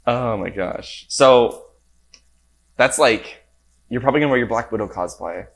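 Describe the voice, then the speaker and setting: A podcast host in casual chat, asking curious questions and laughing along. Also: female voice, rumbling low, normal speed, gravelly.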